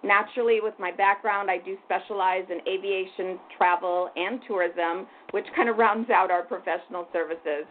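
An older woman from Hungary, president of a museum board, introducing herself as a guest on a radio talk show in a composed, measured way. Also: medium pitch, steady tone. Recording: tinny phone-like line with faint noise.

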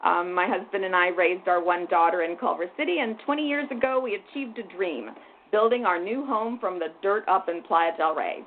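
An older woman from Hungary, president of a museum board, introducing herself as a guest on a radio talk show in a composed, measured way. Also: fairly low pitch. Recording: tinny phone-like line with faint noise.

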